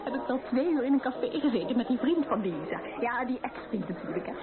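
An actress playing a chatty, gossiping, excitable young woman at a wedding in a radio play. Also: on the high side, fast talker, natural voice. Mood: gossipy. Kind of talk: Dutch radio drama acting. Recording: tinny, band-limited old radio recording with crowd.

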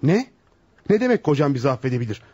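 Indignant panicked psychologist, radio drama, quick delivery, dry close sound, stammering protests. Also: male voice, medium pitch, sing-song, dark tone, husky, no room sound, dull audio. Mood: alarmed indignation. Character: panicked, outraged male psychologist caught in blackmail trap. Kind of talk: Turkish radio theater acting.

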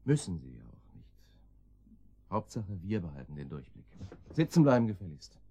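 An actor playing a laconic, dryly ironic gangster guard in a German radio drama, gruffly giving orders. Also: male voice, low-pitched, very animated, moderate pace, warm tone, exaggerated voice. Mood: curt menace. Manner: laconic, dry, gruffly commanding.

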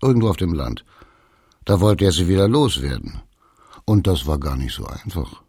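An actor playing a wry German pastor, telling anecdotes in clipped sentences in a radio drama. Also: male voice, deep voice, big pitch swings, normal speed, darkish tone, husky, no room sound, clean studio sound, full character voice. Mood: wry, matter-of-fact. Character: wry male German pastor among expatriates, blunt and amused. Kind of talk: documentary radio drama monologue.

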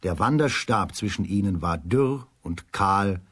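Male narrator, lowish breathy voice, dry close sound, scripted children's storytelling. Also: animated, a little slow, a bit bright, no room sound.

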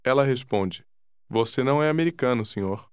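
Calm male language-course narrator. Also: mid-range voice, clear, resonant tone, no room sound, clean studio sound. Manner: calm, deliberate prompting. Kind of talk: scripted instruction.

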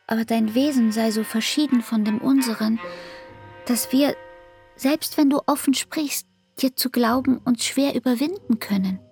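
An actress playing a hurt young barmaid in a radio play, speaking intimately and deliberately in a breathy, hushed voice. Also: female voice, fairly high pitch, full character voice. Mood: hurt, restrained. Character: Frieda, hurt young barmaid reproaching her lover. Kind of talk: radio play acting, literary monologue.

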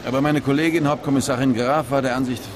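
An actor playing a chatty, curious, sceptical police detective in a radio crime drama, conversational and probing. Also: male voice, mid-range voice, fairly even intonation, fast, background noise, lightly acted.

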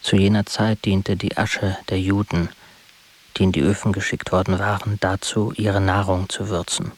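An actor playing a sombre, defiant poet-witness in a literary radio drama, speaking gravely in declarations. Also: male voice, low-pitched, flat delivery, moderate pace. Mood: grave, declarative.

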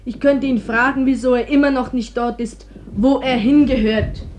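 A woman's voice: high-pitched, fairly even intonation, moderate pace, background noise, natural voice. A young woman playing a fiery, scornful Spanish militia girl in a German radio play, accusing and biting. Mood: bitter, cutting. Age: young adult.

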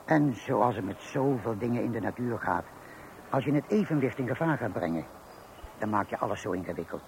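Man playing a lecturing fifty-year-old colleague, creaky voice. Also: mid-range voice, expressive, brisk, a little mellow, lightly acted. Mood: knowing. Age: 50s. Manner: emphatic, patronising, explanatory. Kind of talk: radio play acting. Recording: noisy old radio play recording.

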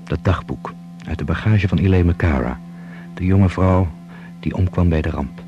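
A man's voice: low-pitched, moderate pace, dull audio, over music, lightly acted. An actor playing a stern, troubled male secret agent in a radio drama. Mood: troubled, serious.

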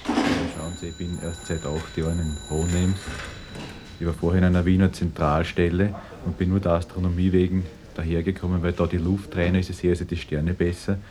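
An actor playing a formal, guarded engineer and civil-service boss with a Viennese accent, giving a bureaucratic account in a documentary-style radio drama. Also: male voice, sits low, level tone, brisk, a crowd in the background, natural voice. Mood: guarded, official. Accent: Austrian, Viennese. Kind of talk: naturalistic mock-documentary interview in radio drama.

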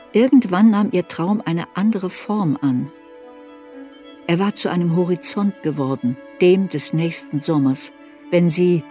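Ernste, nachdenkliche Erzählerin mit Musik. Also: female voice, low voice, warm tone. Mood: introspective, sober. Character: serious woman looking back on her girlhood shame. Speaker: narrator of a radio play. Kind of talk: literary radio drama narration.

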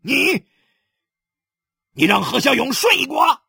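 Raging, jealous husband in an audiobook drama: coarse, explosive, high-strained shouting with a rasp. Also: male voice, big pitch swings, quick pace, no echo, clean studio sound, heavily acted. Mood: enraged. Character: enraged jealous husband bellowing at his wife.

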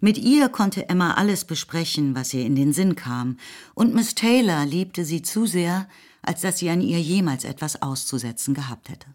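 A woman narrator reading literary prose for a radio play in a measured, expressive storytelling manner. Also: on the low side. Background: faint music.

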